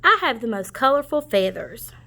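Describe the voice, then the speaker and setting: A woman's voice: on the high side, sing-song, moderate pace, creaky, bright, forceful tone, exaggerated voice. A woman voicing a spirited, sassy female turkey in a children's storybook. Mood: indignant. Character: spirited, indignant female turkey, protective of her friend.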